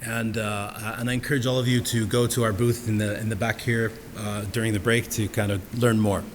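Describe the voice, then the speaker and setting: A man moderating a conference panel, introducing it in a halting way with restarts. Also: fairly low pitch, flat delivery. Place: large conference hall. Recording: reverberant hall with clear background noise.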